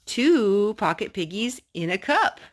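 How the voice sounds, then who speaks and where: A woman's voice: mid-range voice, sing-song, a little slow. A woman hosting a children's storytime, reading picture books aloud and chatting with a young child through simple questions and asides. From North Carolina.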